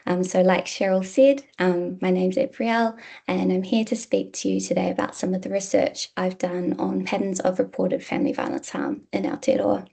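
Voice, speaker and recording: New Zealand woman with a gravelly voice. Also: fairly low pitch, expressive, dark tone. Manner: measured, frequent "um" fillers. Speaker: university lecturer presenting research. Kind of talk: academic presentation.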